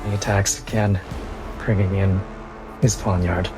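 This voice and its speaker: Lowish-voiced male tabletop player, music behind. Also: level tone, unhurried. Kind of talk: in-game narration of his character's combat moves.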